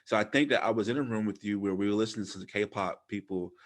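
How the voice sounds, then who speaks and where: Guy in his 30s from louisiana, lowish voice, slangy casual podcast host chat. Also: fast talker. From Louisiana, United States.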